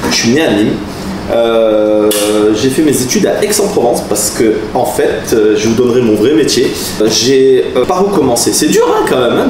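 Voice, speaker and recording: Animated Frenchman in his forties, far off. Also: medium pitch. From southern France. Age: 40s. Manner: chatty, laughing, animated asides. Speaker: vlogger, taekwondo master. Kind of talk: casual storytelling to camera. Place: room with a camera crew. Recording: roomy, mic at a distance, background noise.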